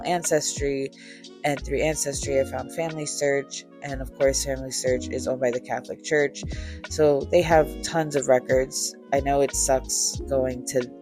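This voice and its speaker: A woman in her thirties chatting casually and relaxed, with easy fillers and light laughter, telling a storytime while beading. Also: extremely low pitch. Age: 30s. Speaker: crafter and vlogger. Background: faint chill music.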